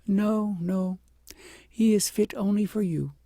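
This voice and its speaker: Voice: mid-range voice, steady tone, slow pace, warm tone, dry recording, clean studio sound, pitched above the performer's own voice. A reader voicing a young princess, humbled, earnest and full of feeling, in a storybook. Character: remorseful young princess, emotional, humbled and adoring.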